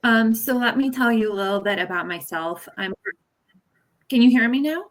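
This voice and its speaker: A woman's voice: a little high, normal speed. A woman from Czechia speaking earnestly on a video call as an interview guest, with hesitations and fillers. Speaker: guest, conflict resolution specialist. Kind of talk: interview answers. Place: home in Pennsylvania.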